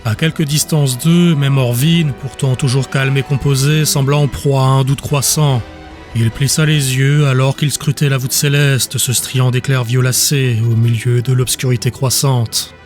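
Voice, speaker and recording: A man's voice: medium pitch, steady tone, a little slow, a little mellow, soft background music. A man reading scripted dramatic narration as the narrator of an audiobook.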